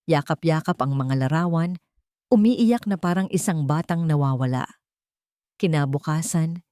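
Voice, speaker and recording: A woman's voice: low voice, dark tone, dry recording, studio quality. A woman reading a scripted romance story as narrator in a dramatic storytelling style.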